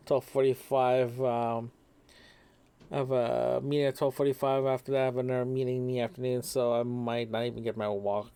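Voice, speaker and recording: A man's voice: mid-range voice, darkish tone, clean tone. Rambling man talking into a microphone. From Toronto, Canada. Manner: rambling, many ums and restarts. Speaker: podcast host. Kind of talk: casual audio diary. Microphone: single microphone.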